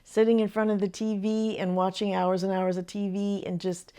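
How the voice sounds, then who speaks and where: An older woman, a retired teacher and grandmother, talks in a chatty, earnest way to camera, sharing advice and often laughing softly between thoughts. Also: medium pitch, little pitch movement, normal speed, clear, resonant tone. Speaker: vlogger. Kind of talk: casual advice vlog.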